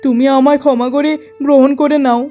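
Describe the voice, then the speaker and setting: A woman's voice: high-pitched, fairly even intonation, a little fast, warm tone, faint music behind, close to an everyday voice. A woman playing a troubled merchant husband in a melodramatic cartoon story, earnest, aggrieved and regretful. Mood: remorseful pleading.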